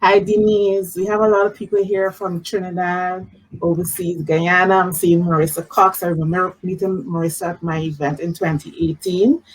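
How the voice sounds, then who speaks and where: A woman's voice: fairly low pitch, slightly rough, low-quality recording. A woman hosting a casual live-streamed interview, effusive and encouraging in the way she talks. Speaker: host. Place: video call live stream.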